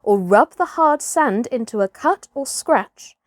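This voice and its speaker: A woman reading a children's story aloud as an expressive scripted narration. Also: on the high side, unhurried, dry recording, clean studio sound. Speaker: storybook reader, actress.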